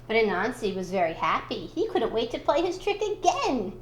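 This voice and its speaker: A woman reading a children's storybook aloud in expressive narration. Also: a little high, moderate pace, echoey room, a little hiss or hum. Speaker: school storybook reader.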